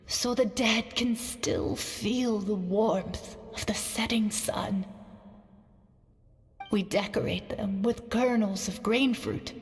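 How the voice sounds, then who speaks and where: An actress voicing a frail elderly woman weaver near death, speaking softly and in whispers, coughing and weak, in a video game. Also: mid-range voice, long reverb tail. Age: older. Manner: soft, whispery, slow and tender.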